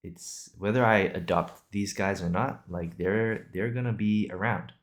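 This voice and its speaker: A man's voice: lowish voice, a little slow. A young man from Singapore and Australia chatting casually and unscripted, thinking aloud in a rambling, hesitant way. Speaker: video podcast host. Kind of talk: casual improvised solo chat.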